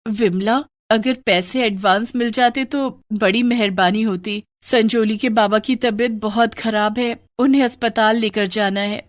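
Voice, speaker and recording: A woman's voice: on the high side, steady tone, measured pace, few pauses, no echo, natural voice. A woman acts out a poor seamstress mother from a moral tale. She is humble and worried, pleading earnestly with those around her. The sound is close and dry. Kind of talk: Hindi moral story dramatized narration.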